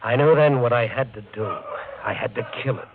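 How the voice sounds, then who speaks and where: Actor as an obsessed, haunted treasure hunter, tinny old radio. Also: male voice, medium pitch, a little fast, vocal fry, natural voice. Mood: tense, murderous. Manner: intense, brooding, haunted. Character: obsessed treasure hunter slipping into murderous madness. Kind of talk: 1950 radio drama first-person narration. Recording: tinny vintage radio broadcast, music underneath.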